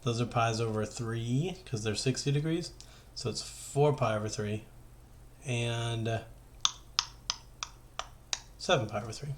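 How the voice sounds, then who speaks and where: A man's voice: mid-range voice, measured pace, pauses often, slight background noise. A male teacher with a mid-range voice talks through worked math problems in an informal way. He is conversational and thinks aloud, checking and correcting himself as he explains. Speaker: high school math teacher.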